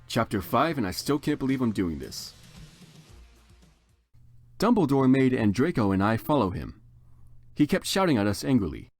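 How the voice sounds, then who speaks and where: A man's voice: medium pitch, big pitch swings, moderate pace, pauses often, soft background music. A man giving a theatrical, mock-serious dramatic reading of fan fiction as the narrator. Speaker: reader.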